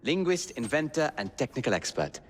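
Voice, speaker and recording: An actor playing a polite, formal, well-mannered Victorian gentleman in a video game. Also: medium pitch, very animated, brisk, long reverb tail, slight background noise, close to an everyday voice. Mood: courteous. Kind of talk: video game cutscene acting.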